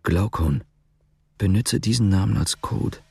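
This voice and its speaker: Police investigator, radio drama: low, dry, terse, guarded. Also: male voice, a little slow, creaky, a little mellow, slightly rough, exaggerated voice. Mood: urgent.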